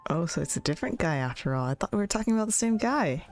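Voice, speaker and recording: Playful, low-pitched woman streaming games. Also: big pitch swings, very fast, darkish tone, soft background music. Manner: playful, chatty, teasing. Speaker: game streamer. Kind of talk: casual live game commentary.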